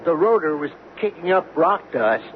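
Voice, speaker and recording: An actor playing an uneasy, deferential oil rig worker in a radio drama, hesitant and earnest. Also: male voice, fairly high pitch, sing-song, moderate pace. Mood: bewildered. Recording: muffled, faintly noisy old radio broadcast.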